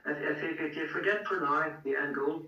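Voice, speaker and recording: Bright-voiced man, echoey room. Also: a little high, brisk, far from the mic, muffled recording. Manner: earnest, encouraging, repeats words. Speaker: guest, strongman coach. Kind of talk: casual interview chat.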